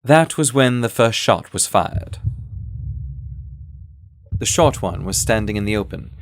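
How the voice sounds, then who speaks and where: A man reading a scripted first-person story in a measured storytelling manner. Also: on the low side, animated. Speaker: audio fiction narrator.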